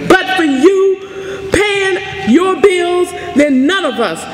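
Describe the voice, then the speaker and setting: A middle-aged woman speaking as a college president to an audience, with emphatic, deliberate delivery. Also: very high voice, expressive. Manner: emphatic, deliberate oratory with repeated phrases. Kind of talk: inspirational address and audience Q&A. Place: large chapel, indoors. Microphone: podium microphone. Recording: echoey hall, faint noise and crowd.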